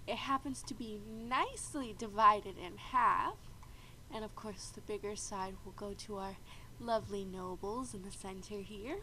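A woman's voice: fairly high pitch, poor audio. A woman with a congested, stuffy voice from a cold, casually narrating gameplay and explaining rules as the host. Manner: frequent throat clearing, chatty and explanatory. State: congested after a bad cold. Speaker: video game host. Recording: steady background noise.

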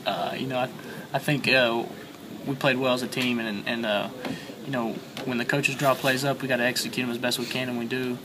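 A young man, a college quarterback, answering reporters after a game, halting with many fillers and repeated words, recorded on handheld mics and phones held close. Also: mid-range voice, little pitch movement, background noise. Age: young adult. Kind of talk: postgame media scrum. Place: crowded locker-room interview area, indoors. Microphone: several handheld mics and phones held close. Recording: busy crowd chatter behind.

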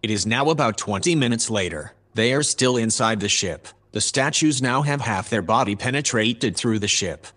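A man playing a composed, formal artificial assistant in a sci-fi audio drama, reporting in a measured, matter-of-fact way. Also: medium pitch, lively intonation, fairly bright tone. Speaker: voice actor.

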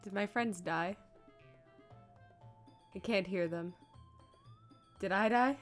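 Female game streamer, medium pitch, casual read-aloud and banter over game music. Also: takes it slowly. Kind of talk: casual gameplay stream, reading lines aloud.

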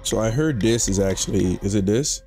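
A young man with a raspy, just-woken-up voice, giving laid-back, slangy commentary while playing a video game. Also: on the low side, moderate pace, dark tone, soft background music. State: just woke up. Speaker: gaming channel host. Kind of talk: casual gameplay commentary.

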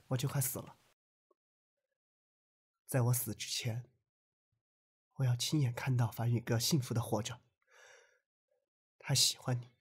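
A man playing an earnest, selfless young man in an audio drama, speaking softly and sincerely. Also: medium pitch, husky.

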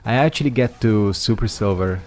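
A man's voice: fairly low pitch, moderate pace, a little mellow, faint music behind. Laid-back young man commentating his gameplay. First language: Hungarian. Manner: rambling, loose slang, frequent fillers. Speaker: gaming channel host. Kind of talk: casual commentary over gameplay.

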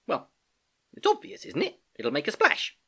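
Reader voicing an earnest schoolgirl, high and breathy. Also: wildly swooping pitch, normal speed, a bit bright, heavily acted. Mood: questioning. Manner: questioning, eager. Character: earnest, curious schoolgirl niece, easily exasperated.

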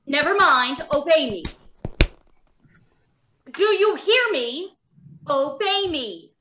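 A woman playing an earnest, uneasy doctor of robot science in a radio drama, deliberate and emphatic. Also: sits high, lively intonation, highs cut off, background noise. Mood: commanding. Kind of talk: old-time radio drama acting. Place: home, remote video call.

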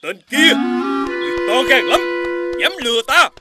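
Cartoon mandarin: greedy bully, very high voice, wildly swooping delivery, loud music underneath. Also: male voice, sing-song, normal speed. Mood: angry, threatening. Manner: domineering, commanding. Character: greedy, bullying male mandarin, adult, imperious. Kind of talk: animated fairy-tale dubbing.

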